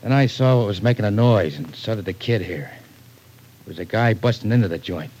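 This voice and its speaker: An actor playing a surly, sardonic American small-time crook in an old-time radio crime drama, talking in tough slang. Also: male voice, fairly low pitch, brisk, darkish tone, natural voice. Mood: matter-of-fact. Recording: noisy vintage radio recording.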